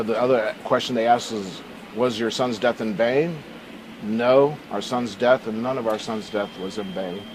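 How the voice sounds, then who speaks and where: A man's voice: medium pitch, darkish tone, a little hiss or hum, poor audio. A man giving earnest interview answers in emphatic, short, repeated phrases. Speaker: interviewee, bereaved father.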